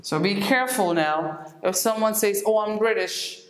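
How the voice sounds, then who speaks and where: A woman teacher gives an English lesson, breaking each point into small steps and saying example words slowly and clearly so learners can follow. Her voice sits at an ordinary middle pitch. The room around her rings with a clear echo. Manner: explains step by step, repeats words slowly for learners. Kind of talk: recorded classroom lesson. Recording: echoey room.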